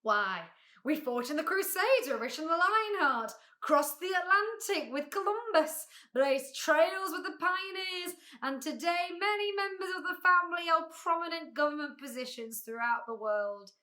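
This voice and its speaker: A woman voicing a blustering, pompous male beetle humbug with a booming delivery in a storybook reading. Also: animated, measured pace, pushed and bright, heavily acted. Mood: boastful. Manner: pompous, grandiloquent.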